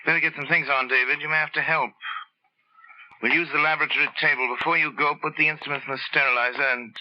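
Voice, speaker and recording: A man's voice: on the high side, steady tone, fast talker, lightly acted. An actor playing a cold, domineering scientist father with a curt, commanding manner in 1940s radio drama style. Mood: brisk, commanding. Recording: muffled, band-limited 1940s radio recording.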